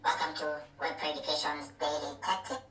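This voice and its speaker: Man as squeaky voice-changer effect, high, bright, distant roomy phone playback. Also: steady tone, a little fast, soft background music. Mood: upbeat. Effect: pitch-raising voice changer.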